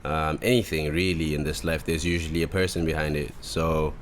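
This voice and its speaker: A man's voice: a bass voice, fairly even intonation, fairly quick, a little hiss or hum. A man, hosting a podcast in a casual, rambling, slangy way. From Zimbabwe. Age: 20s. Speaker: podcast host. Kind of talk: casual chat.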